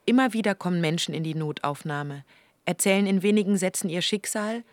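An actress narrating a radio play as a detached, terse observer of people coming and going. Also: female voice, on the low side, brisk, heavy background noise, lightly acted. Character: detached female narrator announcing waiting-room comings and goings. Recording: loud music behind the voice.

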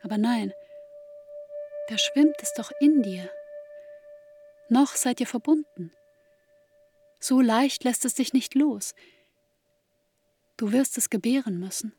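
A grieving narrator in a literary radio play. Her voice sits fairly high and moves very slowly, addressing a bereaved mother with measured intimacy. Music plays softly behind her. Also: breathy edge, heavily acted. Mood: somber, tender. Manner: slow, measured, intimate second-person delivery.

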